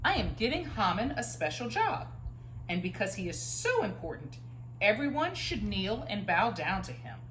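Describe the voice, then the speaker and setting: A woman's voice: mid-range voice, big pitch swings, normal speed, fairly bright tone, voice pushed up. A woman playing a kindly, commanding king from a children's storybook, with stately declarations, in an indoor room. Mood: gracious. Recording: roomy echo, faint room noise.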